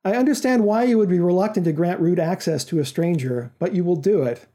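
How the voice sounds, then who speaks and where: Story villain's agent, read by a man: stern, formal, precise, high male voice, very soft-toned. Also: fairly quick, lightly acted. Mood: stern, commanding. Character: commanding, secretive male intermediary making a job offer. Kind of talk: audiobook-like story reading.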